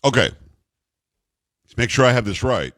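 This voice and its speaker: A man's voice: mid-range voice, animated, normal speed, a bit bright, no room sound, clean studio sound. An American man hosting political talk radio, giving opinionated commentary in an emphatic, incredulous and sarcastic manner. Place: radio studio.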